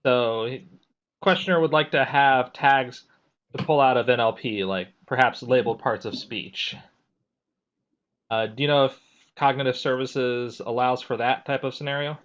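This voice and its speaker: A man's voice: medium pitch, steady tone, normal speed, fairly bright tone, dull audio. A man hosting a technical webinar on a video call, reading chat questions and filling time with self-deprecating jokes. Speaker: webinar host and moderator. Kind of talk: casual moderating and filler talk.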